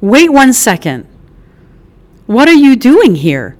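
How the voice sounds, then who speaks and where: A woman reader voicing a brash, affectionate middle-aged mother just out of prison, loud, bossy and teasing, full of motherly endearments. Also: fairly high pitch, wildly swooping pitch, slow, voice pushed up, heavily acted.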